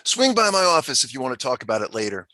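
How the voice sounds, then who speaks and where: Man playing a bright, chummy, dorky school principal. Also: medium pitch, big pitch swings, fast talker, no room sound. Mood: sympathetic. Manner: over-friendly. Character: chummy, upbeat, dorky forty-five-year-old male high school principal. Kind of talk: comedy script table read.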